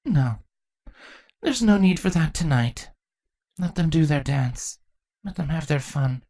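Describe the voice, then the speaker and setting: A man voicing a weary former changeling queen, female, who speaks in a dry, measured, firm way for a storybook reading. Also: on the high side, expressive, warm tone, pitched above the performer's own voice. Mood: gentle, resigned.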